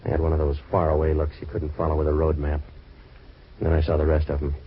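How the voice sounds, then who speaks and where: A muffled, hissy old radio drama recording carries a hard-boiled American detective. He is sardonic and clipped, talking low and fast in deadpan wisecracks. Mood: wry, jaded. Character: wisecracking hard-boiled male waterfront private eye. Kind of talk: 1940s radio detective acting and narration.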